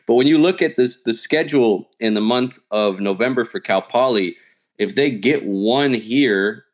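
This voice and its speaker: A man's voice: mid-range voice, normal speed. A man who works as a sports announcer, talking casually and analytically as an interview guest. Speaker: radio play-by-play sports announcer, podcast guest.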